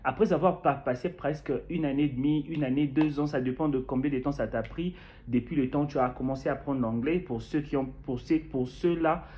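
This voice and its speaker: A man's voice: mid-range voice, a little fast, warm tone. A young man from Kinshasa with a Congolese French accent, chatting to camera about his experience, with many fillers and restarts. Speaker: YouTuber sharing experience. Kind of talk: casual talk to camera. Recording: dull lo-fi sound.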